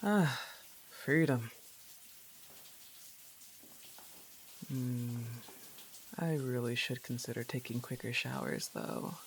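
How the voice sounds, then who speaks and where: A young goth roommate, acted by a woman, drawls slowly in a roleplay audio. Her pitch swings widely as she teases, laid-back yet a little annoyed, with casual sarcasm. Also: sing-song, vocal fry, a little hiss or hum, exaggerated voice. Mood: relaxed musing. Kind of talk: acted roleplay ASMR monologue.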